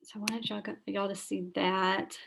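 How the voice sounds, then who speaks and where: A woman's voice: mid-range voice, flat delivery, unhurried, creaky. A woman with a tired, scratchy voice, chatting through a screen-share training on a video call, with many fillers and restarts. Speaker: school librarian leading teacher training.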